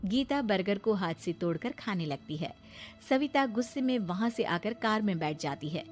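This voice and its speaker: A woman delivering scripted narration as the storyteller of an animated tale. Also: mid-range voice, moderate pace, over music.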